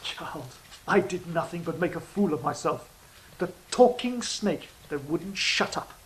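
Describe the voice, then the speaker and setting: A man's voice: on the high side, expressive, measured pace, fairly bright tone, rough recording. Man voicing a world-weary male serpent, wistful, self-deprecating, audio drama, faint noise and clicks behind. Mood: self-reproachful. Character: weary, remorseful male serpent, former mischievous agent of passion.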